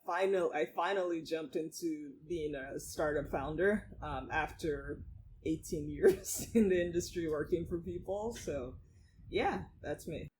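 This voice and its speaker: A woman around forty, a startup founder talking as a guest in a casual interview, animated, laughing often and saying um a lot. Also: sits low, a little slow, slight background noise, low-quality recording. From Nigeria.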